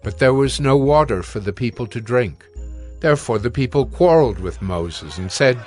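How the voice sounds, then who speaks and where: A man's voice: medium pitch, animated, darkish tone, soft background music. Solemn male scripture narrator. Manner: measured, solemn.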